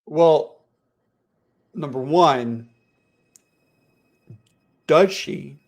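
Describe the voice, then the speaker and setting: A man's voice: a little high, very slow. Laughing, teasing man co-hosting a podcast. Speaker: podcast co-host. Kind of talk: casual news chat podcast.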